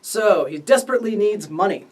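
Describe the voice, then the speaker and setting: A man's voice: high-pitched, animated, fairly quick. Man teaching, slightly distant mic. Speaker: writing instructor and series host. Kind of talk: casual instructional presentation.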